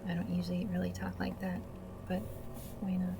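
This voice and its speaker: A woman's voice: fairly low pitch, monotone, brisk, gravelly, noisy background. A woman hosting a gaming video with casual, awkward, self-deprecating commentary, speaking hesitantly. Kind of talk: casual let's play commentary.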